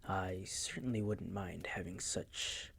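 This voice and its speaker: A man playing a possessive, suave vampire master in an intimate audio roleplay, hushed and breathy, with a silky, teasing, condescending manner. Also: sits low, slow pace, slight background noise, heavily acted. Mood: wistful, teasing.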